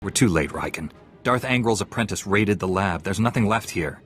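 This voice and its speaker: Composed, principled young male hero for a sci-fi game, earnest, on the lower side; male actor. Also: normal speed, close to an everyday voice. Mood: sober, concerned. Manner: calm, principled. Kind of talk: video game voice acting. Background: music.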